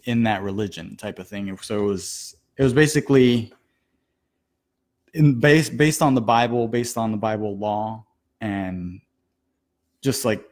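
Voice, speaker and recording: A man's voice: on the low side, darkish tone. A man of about thirty from Colombia, telling his personal story as a guest in a loose, informal conversational way peppered with "like". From born in Colombia, moved to the US at nine. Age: 30s. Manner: heavy use of "like", loose and informal. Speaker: podcast guest sharing a personal testimony.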